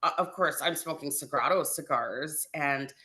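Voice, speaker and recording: A woman's voice: sits low, moderate pace, bright, forceful tone. A woman from Canada talking casually in an interview over a video call, chatty with hesitant fillers. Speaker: brand ambassador.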